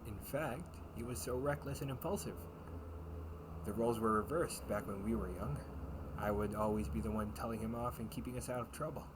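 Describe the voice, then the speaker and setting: A man's voice: fairly low pitch, fairly quick, lightly acted. A man playing a kindly, teasing knight captain in a comfort audio roleplay, speaking in courtly, old-fashioned phrases. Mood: relaxed, confiding. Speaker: amateur audio roleplay performer. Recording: clearly noisy home recording with ambience.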